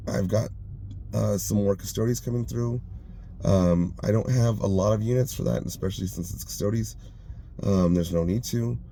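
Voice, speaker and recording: A man hosting a hobby vlog, casual and rambling, hesitant with many ums and you-knows, his voice going hoarse. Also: on the low side, mellow, slight background noise. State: losing his voice. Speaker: hobby channel host.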